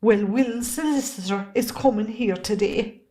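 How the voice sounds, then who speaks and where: A woman's voice: on the high side, measured pace, dark tone, lightly acted. A woman with an Irish accent playing a long-suffering, fiery middle-aged wife and mother in an amateur drama. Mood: fretful, weary. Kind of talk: amateur stage drama acting.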